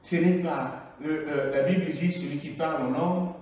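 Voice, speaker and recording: Man, pastor, fiery sermon full of alleluias, fairly high voice, picked up from afar in a big reverberant church hall. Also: normal speed. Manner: emphatic preaching, frequent alleluias, shifts between loud and hushed. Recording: echoey church hall, voice from far.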